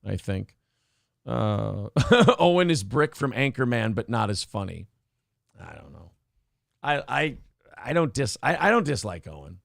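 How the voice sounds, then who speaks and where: A man's voice: medium pitch, very animated, a little slow, vocal fry, no echo. A man hosting a morning show, chatting casually with a dry throat, profane and opinionated, acting out quotes in raised voices. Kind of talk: casual talk-show banter.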